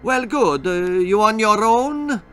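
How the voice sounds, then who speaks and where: A man's voice: sits high, expressive, slow, fairly bright tone, clean tone, soft background music, pitched above the performer's own voice, exaggerated voice. A man voicing a shady, genial Italian mafioso pizza man with a sing-song Italian accent, in a children's story. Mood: sly, scheming.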